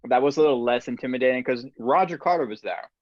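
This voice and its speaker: A man hosting a casual podcast conversation, telling relaxed stories with frequent laughs. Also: medium pitch, quick pace, dry recording, muffled recording. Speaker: podcast host.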